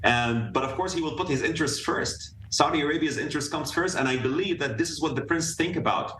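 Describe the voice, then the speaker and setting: A man speaking as a guest analyst in a live news interview over a remote video link, talking earnestly with many fillers and restarts, and rising in pitch when he presses a point. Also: fast, fairly bright tone, slight background noise. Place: room in another city. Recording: echoey remote feed from a distance.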